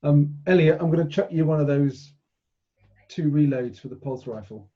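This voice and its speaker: A man's voice: on the high side, normal speed. A man talking casually as a tabletop game player, decisive and matter-of-fact, on a dull microphone.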